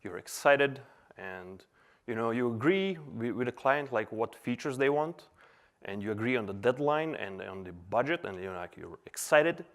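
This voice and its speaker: A man in his thirties from Serbia talks to a conference crowd in an easygoing, chatty way, with plenty of fillers. His voice sits at an ordinary middle pitch. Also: lively intonation, moderate pace, a bit bright. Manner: casual, chatty with filler words. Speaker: conference speaker. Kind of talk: conference talk. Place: conference hall.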